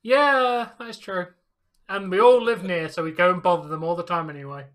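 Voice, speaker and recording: A man's voice: high-pitched, moderate pace, a bit bright. A man in his late twenties with an English accent, co-hosting a live hockey reaction stream in casual, teasing banter. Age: 20s. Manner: joking, teasing, chatty.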